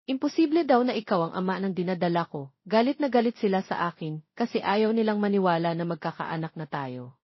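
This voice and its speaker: A woman's voice: medium pitch, expressive, brisk, husky, no room sound, muffled recording. A woman voicing a hurt, tearful young mother in an emotional, pleading way for an audio romance drama. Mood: hurt, aggrieved.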